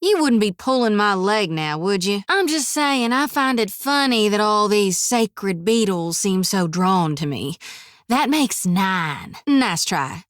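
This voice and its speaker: An actress voicing a plucky young priestess in a video game, who talks in a plainspoken, folksy rural drawl. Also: female voice, mid-range voice, sing-song, slow, dry recording, studio quality. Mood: wry. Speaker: companion character. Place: recording studio.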